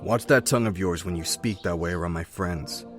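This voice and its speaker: A man's voice: sits low, brisk, over music, close to an everyday voice. A man voicing an easygoing young male rat drifter who talks in a laid-back, friendly, chatty way in a fan comic dub. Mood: persuasive.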